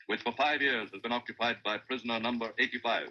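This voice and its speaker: Old timey radio news announcer, super sharp urgent dramatic clipped delivery, dull crackly 30s sound. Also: male voice, mid-range voice, steady tone, fast talker. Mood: grave, dramatic. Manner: clipped, portentous, declamatory. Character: stentorian male newsreel announcer, grave, urgent, dramatic. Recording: noisy, muffled 1930s radio recording.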